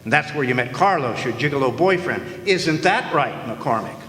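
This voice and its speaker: A man's voice: medium pitch, lively intonation, moderate pace, fairly bright tone, natural voice. A man playing a sardonic hardboiled private eye. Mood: wry. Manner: dry, sardonic noir narration. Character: wisecracking hardboiled male private eye narrator. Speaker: lead actor and narrator. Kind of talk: live stage radio play. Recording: echoey hall with faint noise.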